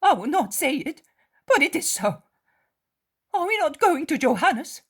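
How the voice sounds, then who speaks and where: A woman's voice: big pitch swings, a little slow. A woman doing an indignant outspoken companion. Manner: exclaiming, sharp and high.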